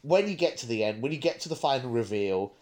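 A man's voice: medium pitch, expressive, fast talker, darkish tone. A man co-hosting a podcast, chatting casually and discursively, thinking aloud with hesitant asides. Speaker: podcast co-host.

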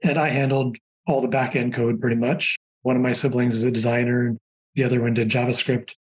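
A man in his forties, a software engineer guest on a podcast, telling chatty anecdotes in a relaxed way. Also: mid-range voice, fairly quick, poor audio. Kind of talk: casual conversational interview.

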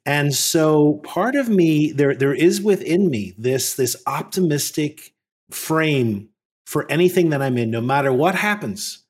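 A man's voice: fairly high pitch, expressive, unhurried, a little mellow. A man from Northern Ireland with a Northern Irish accent, co-hosting a podcast and speaking in an earnest, reflective way, often repeating words as he gathers his thoughts. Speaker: podcast co-host. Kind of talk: reflective conversational podcast talk.

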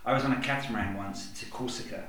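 A man's voice: medium pitch, very fast, distant mic, a crowd in the background. A man from Kenya chatting as a podcast guest, telling stories in a laid-back way. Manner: laid-back, anecdotal, says "yeah" a lot. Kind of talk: casual chat, storytelling. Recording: echoey room, off-mic.

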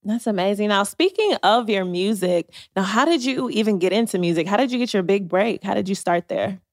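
A woman's voice: medium pitch, animated, a little fast, dry recording, clean studio sound. A woman co-hosting a podcast, asking questions in an upbeat, encouraging way. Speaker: podcast co-host. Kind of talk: casual interview chat.

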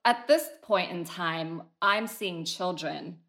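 A therapist who was born in Vietnam, calmly explaining history and family trauma as an interview guest. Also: male voice, high-pitched, slow pace, gravelly. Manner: calm, explanatory.

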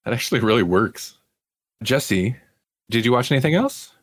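A man's voice: mid-range voice, expressive, normal speed, husky, no echo, clean studio sound. A man co-hosting a podcast, chatting casually about pop culture. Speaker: podcast co-host.

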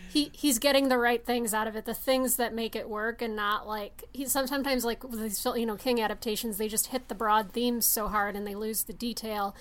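A woman's voice: on the high side, fairly even intonation, fairly quick, few pauses. A woman co-hosting a podcast, discussing film casually and emphatically, with offhand swearing. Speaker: podcast co-host.

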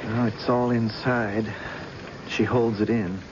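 An actor playing a grieving, bitter grown son with an earnest, sorrowful manner in a 1970s radio drama. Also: male voice, on the low side, flat delivery, takes it slowly. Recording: dull, noisy old radio broadcast recording.

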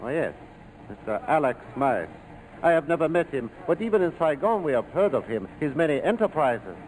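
A smooth police lieutenant of an old radio play, his fairly high voice swooping with courtly politeness. He probes and insinuates in formal phrases. The broadcast has a light layer of noise. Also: big pitch swings, brisk, darkish tone. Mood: smooth, probing. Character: suave, probing police lieutenant in colonial Saigon. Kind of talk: 1940s radio acting. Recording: noisy 1940s radio broadcast.

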